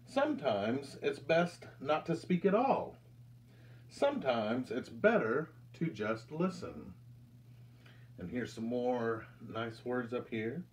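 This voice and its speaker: An American man in his thirties reads a picture book aloud to children with deliberate, expressive storytelling delivery. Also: medium pitch. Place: indoors, small room with curtain backdrop.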